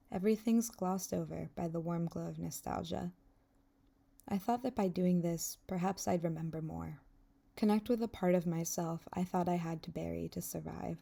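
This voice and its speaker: A woman's voice: lowish voice, vocal fry, dark tone. A young woman who grew up in England and then the US, reading a reflective, wistful scripted voice-over for her own vlog. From England until age ten, then the US. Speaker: vlogger narrating her own video.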